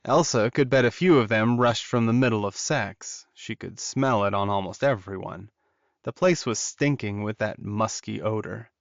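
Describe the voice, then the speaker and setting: A man's voice: fairly low pitch, normal speed, creaky, no echo, muffled recording. A man narrating fiction as a scripted audiobook.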